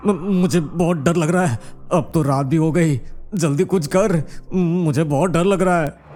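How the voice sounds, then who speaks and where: A man playing an excitable young male traveller in a cartoon horror story, speaking in a dramatic, exclamatory way. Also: fairly high pitch, unhurried, lightly acted. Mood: frightened. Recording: music under the voice.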